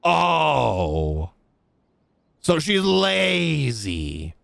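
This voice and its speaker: A man's voice: a little high, sing-song, extremely slow, creaky, fairly bright tone. A man giving casual, blunt, slangy gameplay commentary and talking back to the characters, close on a streaming mic. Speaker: game streamer.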